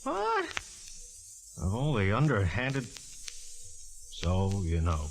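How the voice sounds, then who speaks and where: Sardonic American cop, blustery and slangy, very animated pitch, old-time radio crime show. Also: male voice, slow, vocal fry, soft background music, heavily acted, poor audio. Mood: exasperated. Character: gruff, teasing male police detective. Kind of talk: 1940s radio detective acting. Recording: vintage 1940s radio recording.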